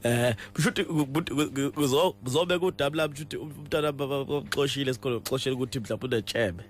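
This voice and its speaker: A stubborn South African Zulu father as a man acts him out argues indignantly at a very fast pace. He stammers, circles back and repeats his complaint. The phone call has a faint hum and noise behind it. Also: medium pitch, close to an everyday voice. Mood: halting, complaining. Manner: stammering, repetitive, argumentative. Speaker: radio prank caller. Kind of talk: improvised prank phone call.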